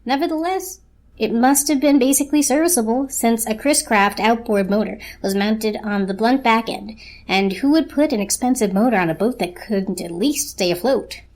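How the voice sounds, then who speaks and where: A woman reading scripted story narration as a narrator, in a measured, literary storytelling manner. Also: on the high side, darkish tone.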